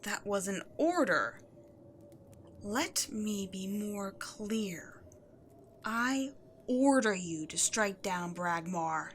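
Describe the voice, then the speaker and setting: A woman's voice: mid-range voice, bright, forceful tone, slight background noise, exaggerated voice. A woman voicing an imperious, seductive demon queen in a scripted audio roleplay, theatrical and haughty, addressing the listener directly. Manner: theatrical, breathy asides, haughty. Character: imperious demon queen, seductive, gloating, easily exasperated villain.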